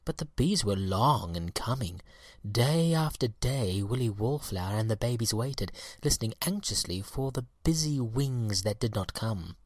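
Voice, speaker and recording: Husky male storybook narrator, dry sound. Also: mid-range voice, expressive, a little slow, darkish tone, no echo. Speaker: storybook reader. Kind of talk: scripted storytelling narration of a children's tale.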